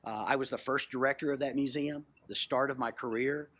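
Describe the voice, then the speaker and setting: A middle-aged man from Texas telling a personal story informally as a museum co-host, in a reflective, halting way with repeated phrases. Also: mid-range voice, fairly even intonation, a bit bright. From Texas, United States. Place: on location at a historic lodge. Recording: clear background noise, on location.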